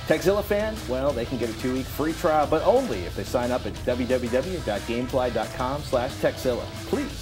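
Male co-host, American, forties, mid-range voice, animated and emphatic delivery, faint music underneath. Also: medium pitch, fairly quick, few pauses. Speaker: co-host of a tech show. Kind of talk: casual explaining and sponsor reads. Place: TV studio at a desk.

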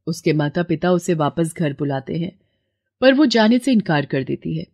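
A woman narrating a scripted moral story in a steady storytelling manner. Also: fairly low pitch, no room sound, studio quality.

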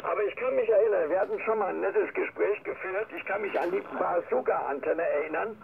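A man's voice: sits high, brisk, gravelly, over a noisy crowd. An older man from Germany chatting casually as an amateur radio operator over shortwave radio, often tagging his sentences with little questions. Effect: shortwave single-sideband radio. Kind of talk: casual ham radio chat. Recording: noisy narrowband shortwave radio reception. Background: radio static.

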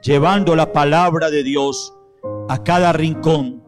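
Middle-aged priest praying at the altar, slow solemn phrasing, handheld mic, piano playing under him, voice a bit high. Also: male voice, fairly even intonation. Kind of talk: liturgical prayer and renewal of baptismal promises. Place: church sanctuary, at the altar. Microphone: handheld microphone. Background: soft piano music.

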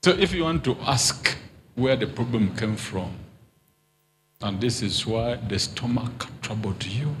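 A man's voice: medium pitch, lively intonation, a little slow, a bit bright. A middle-aged man speaking as a prophet to a congregation on a handheld microphone, in short repeated declarations. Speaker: prophet, preacher. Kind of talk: prophetic ministry before a congregation. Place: large hall with congregation. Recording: noisy live church hall ambience.

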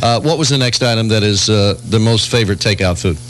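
A man's voice: fairly low pitch, fast, a little hiss or hum. A man hosting a 1980s morning radio show, bantering and chatting as a DJ on an AM aircheck. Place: radio studio.

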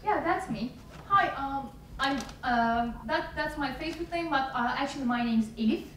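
A woman's voice: high voice, normal speed, a little hiss or hum. A young woman introduces herself hesitantly. She is heard from a distance in a very echoey room. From Turkey. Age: young adult. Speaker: cosplayer on panel. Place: conference room with audience.

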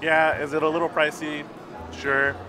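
A man's voice: a little high, flat delivery, moderate pace, over music. Enthusiastic man reviewing food. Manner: enthusiastic, gushing about food. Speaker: food vlogger. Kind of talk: casual restaurant review. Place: busy indoor restaurant patio. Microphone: lapel mic.

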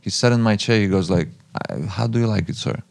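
Low, gravelly man from the former Soviet Union. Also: fast talker, a little mellow, no room sound. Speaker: guest, master barber and museum owner. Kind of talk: conversational interview talk. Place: small podcast studio with acoustic foam. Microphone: close studio mic on boom arm.

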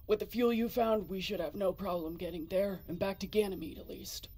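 A gruff, world-weary woman spaceship pilot in a sci-fi game. Her voice is husky and sits at a middling pitch. She speaks tersely and sardonically, keeping her guard up. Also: steady tone, a little fast, dark tone. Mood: businesslike. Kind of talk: naturalistic video game acting.

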